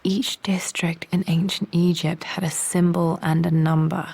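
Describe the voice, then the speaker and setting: A woman's voice: on the low side, little pitch movement, measured pace, vocal fry, darkish tone, husky, heavily acted. An actress playing a young, determined woman archaeologist in a video game, with an English accent, murmuring reflectively to herself.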